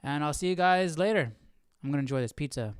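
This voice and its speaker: A man's voice: a little high, expressive, quick pace, clean tone, dry recording, studio quality. A man hosting a livestream, chatting casually and thinking aloud in a rambling way. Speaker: livestream host of a Bible study series.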